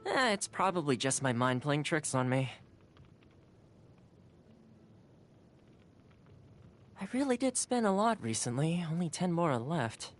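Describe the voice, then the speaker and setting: A woman's voice: deep voice, big pitch swings, moderate pace, slight background noise. A woman voicing a cheerful, caring young male housekeeper in a video game, friendly and earnest. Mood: easygoing, concerned. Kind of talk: video game character voice lines.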